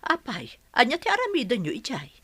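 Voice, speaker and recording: A woman's voice: a little high, huge pitch swings, fairly quick, fairly bright tone, heavily acted. A woman playing an inquisitive village wife in an Ilocano radio comedy drama. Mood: curious, chatty. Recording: clear music under the voice.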